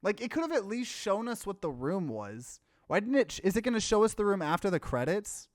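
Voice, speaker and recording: Male let's player, fairly high voice, casual and bemused, close dry sound. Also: big pitch swings, brisk, dry recording. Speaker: game streamer. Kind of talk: let's play commentary, reading game text aloud.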